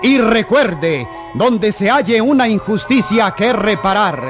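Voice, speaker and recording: A man's voice: sits high, animated, slow pace. A man announcing a vintage radio drama in a solemn manner. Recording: dull lo-fi old radio recording with music.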